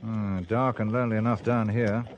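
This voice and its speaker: An actor playing an alert, determined spy, brisk and wary, with a tough edge, in a radio thriller. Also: male voice, on the low side, fairly even intonation, highs cut off, noisy background, close to an everyday voice. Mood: tense, watchful. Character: alert, determined male spy, turning tough under pressure. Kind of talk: radio spy drama acting.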